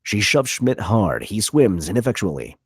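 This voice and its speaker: Male table-read narrator: raspy, punchy, lively pitch swings, dry close sound. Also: normal speed, no room sound. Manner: vivid, punchy reading of action. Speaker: narrator reading stage directions.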